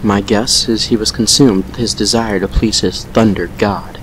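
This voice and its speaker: A man playing a brooding vampire who recounts a painful past with measured regret in an audio drama. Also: fairly low pitch, fairly even intonation, a bit bright, a little hiss or hum, lightly acted, low-quality recording. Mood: grim, regretful. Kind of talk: fan fiction audio drama monologue.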